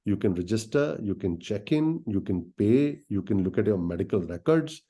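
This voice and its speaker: A man speaking as a company executive in a business presentation, explaining things directly to listeners in halting phrases with many filled pauses. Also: lowish voice, expressive, mellow, husky. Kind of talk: business presentation on an earnings call.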